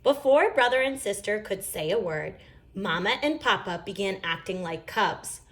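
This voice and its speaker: A woman reading a children's story aloud in an expressive, emphatic storytelling manner. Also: mid-range voice, moderate pace. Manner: animated, emphatic. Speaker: storybook reader.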